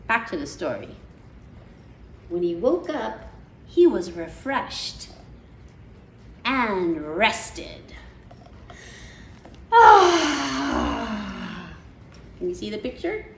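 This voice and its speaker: A woman reading a picture book aloud to children in an expressive, deliberate way, often turning to her listeners with questions. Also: mid-range voice. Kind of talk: read-aloud storytelling with asides to child listeners. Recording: echoey room with faint background noise.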